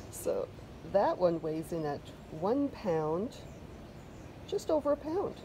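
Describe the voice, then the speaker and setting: A Canadian woman with a somewhat low voice chatters easily about her garden haul, filling her talk with little asides and self-mocking remarks. She records outside on a covered deck, and a steady background hiss sits under her voice. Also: takes it slowly. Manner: chatty, self-deprecating, loose fillers. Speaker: vlogger. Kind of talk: casual garden vlog chat. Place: covered wooden deck outdoors.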